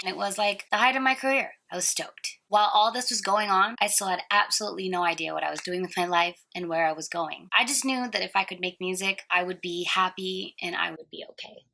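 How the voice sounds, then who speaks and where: A woman's voice: mid-range voice, creaky, bright, forceful tone. A woman in her thirties from Singapore, narrating her own story in a playful, self-mocking way. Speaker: singer and host. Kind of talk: scripted personal narration.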